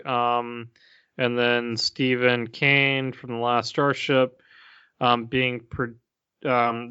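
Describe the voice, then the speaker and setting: A man's voice: medium pitch, pushed and bright, clean tone, no room sound, highs cut off. A man co-hosting a podcast in casual chat, reading out facts hesitantly with many "um"s. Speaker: podcast co-host.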